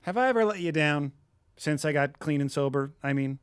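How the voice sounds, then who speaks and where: An actor playing a loyal recovering addict in an audio drama, understated and full of incredulous questions. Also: male voice, fairly high pitch, lively intonation, brisk, clean tone, no echo, studio quality, natural voice. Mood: questioning. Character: wry, sceptical recovering addict, loyal friend.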